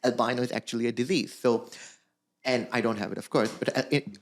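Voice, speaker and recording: A man talking fast at a mid pitch as a podcast guest. He answers earnestly, with loose grammar and frequent fillers. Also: steady tone. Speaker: guest, actor and influencer. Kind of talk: casual interview answers.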